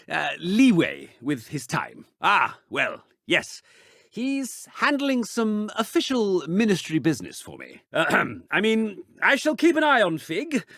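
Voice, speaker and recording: Fussy old wizard headmaster, stammers and blusters, high voice jumping all over the place. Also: male voice, a bit bright, no room sound, clean studio sound, heavily acted. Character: pompous fussy older male wizard headmaster. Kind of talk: video game voice acting.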